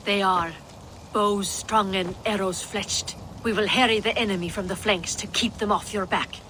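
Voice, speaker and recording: An actress playing a confident, loyal archer captain in a video game. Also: female voice, mid-range voice, steady tone, normal speed, fairly bright tone, slight background noise, natural voice, low-quality recording. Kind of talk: video game cutscene acting.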